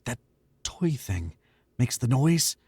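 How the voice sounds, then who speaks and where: Casting: a man as a glib, mocking young schemer in a radio play, lively swinging pitch, dry close mic. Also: slow, slightly rough, no echo, full character voice. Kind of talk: old-time radio drama recreation.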